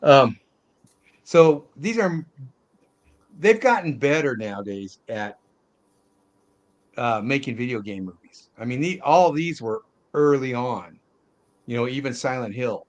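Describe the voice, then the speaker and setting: A man's voice: mid-range voice, animated, measured pace, long pauses. A man hosting a discussion show in casual, informal chat with loose phrasing. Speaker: host of a movie discussion show.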